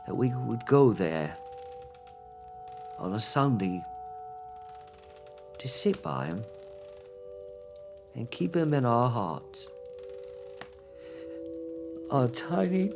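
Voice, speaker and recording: A man's voice: mid-range voice, sing-song, very slow, creaky, pauses often, over music, heavily acted. An actor playing a loving, grieving father who keeps a brave face, speaking tenderly and earnestly in a radio play. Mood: tender grief. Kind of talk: theatrical radio-play acting.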